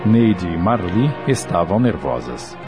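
A man's voice: low-pitched, sing-song, a little slow, music in the background. A man with a Brazilian accent delivering scripted narration as the narrator of a radio drama.

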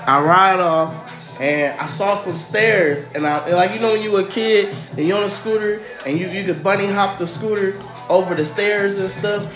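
A young American man co-hosting a podcast, telling stories casually in a slangy, animated way with some swearing. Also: high voice, moderate pace. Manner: slangy, animated, profane storyteller. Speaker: podcast co-host. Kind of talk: casual storytelling chat. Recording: roomy echo, faint music underneath.

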